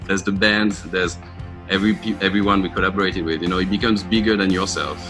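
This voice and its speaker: A man's voice: deep voice, fairly even intonation, fast talker, over music. A man talking as a musician in a casual interview, telling stories with enthusiasm. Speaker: musician in a band, interview guest.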